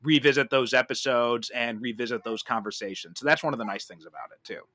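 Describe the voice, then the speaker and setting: A man's voice: medium pitch, fast talker, noisy background. A man hosting a podcast in a casual, conversational style. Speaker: podcast host.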